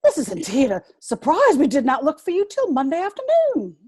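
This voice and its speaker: A woman playing a prim, coy, middle-aged governess who moralizes pointedly in a dramatic reading of a stage comedy, with a husky voice. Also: high voice, huge pitch swings, a little fast, a little mellow, no echo, clean studio sound. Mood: scandalized.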